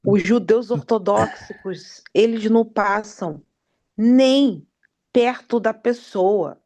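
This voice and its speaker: Slow, gravelly woman asking hesitantly. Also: mid-range voice, dark tone. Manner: hesitant, halting questions. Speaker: student. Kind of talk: casual class conversation.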